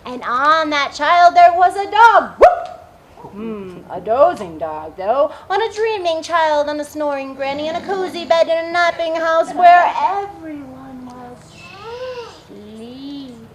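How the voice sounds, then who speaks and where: A woman's voice: high-pitched, measured pace. A woman hosting story time, reading and chatting expressively to small children. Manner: expressive, hushed emphasis, addressing small children. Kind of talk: children's read-aloud and chat. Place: public library story-time room. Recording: noisy room recording.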